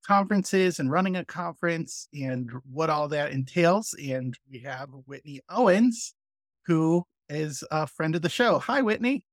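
A man's voice: fairly high pitch, animated, unhurried, no echo. A man hosting a podcast interview, chatting in a friendly way and often restarting his sentences. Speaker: podcast host.

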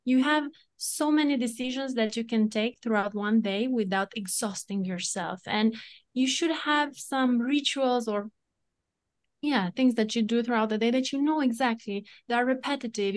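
A woman's voice: fairly high pitch, normal speed. A woman with a Romanian accent, a podcast guest, giving advice thoughtfully and laughing at herself now and then. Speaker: guest, entrepreneur and coauthor. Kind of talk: casual podcast conversation.

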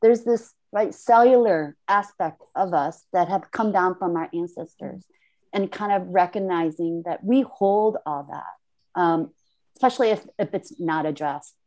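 A woman's voice: lowish voice, a little mellow, rough recording. Korea-born woman, guest on a discussion panel, hesitant and searching delivery. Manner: thoughtful, halting, frequent restarts. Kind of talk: reflective conversation.

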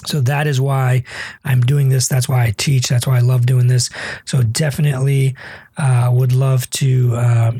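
A man's voice: medium pitch, flat delivery, measured pace, mellow, breathy edge, no echo. A man in his forties hosting a casual solo podcast, chatty with many fillers and restarts. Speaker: podcast host, cardiologist.

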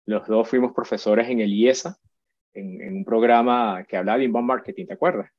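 A man's voice: lowish voice, steady tone, fairly quick, no room sound. Chatty man, dull dry sound. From Venezuela. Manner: chatty, checks in with repeated "¿sí?" and restarts. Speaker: guest expert. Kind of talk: casual interview talk.

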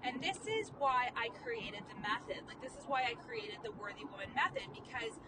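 A woman's voice: a little high, very animated. Candid young woman talking in a car. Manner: candid, fast, peppered with "like" and profanity. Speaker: podcast host and coach. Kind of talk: casual monologue while driving. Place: inside a car. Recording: distant mic with road noise. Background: car and street noise.

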